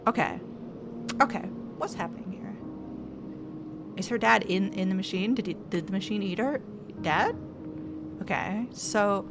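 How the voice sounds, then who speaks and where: A young woman streaming a narrative game, thinking aloud and reading its text in casual commentary. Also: mid-range voice.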